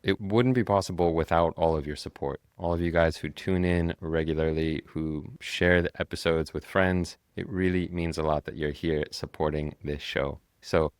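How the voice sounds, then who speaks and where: A man's voice: very deep voice, steady tone, moderate pace, hardly any pauses, dry recording, studio quality. A male podcast host giving an earnest, encouraging self-help talk while recovering from a cold and a sore throat. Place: traveling, recording on location.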